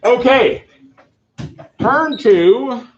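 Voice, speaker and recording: A man teaching a math class, giving loud, direct, brisk instructions to his students. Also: very high voice, lively intonation. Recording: echoey classroom, dull lo-fi sound.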